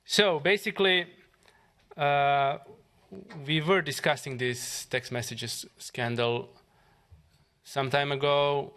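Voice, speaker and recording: A man from Croatia with a Croatian accent, a member of parliament giving a press conference statement haltingly, with fillers and restarts. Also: mid-range voice, pushed and bright, clear, resonant tone.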